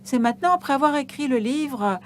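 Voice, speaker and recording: A woman giving a live interpretation of an on-stage conversation, halting, with frequent hesitations and restarts. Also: high voice, lively intonation, slight background noise.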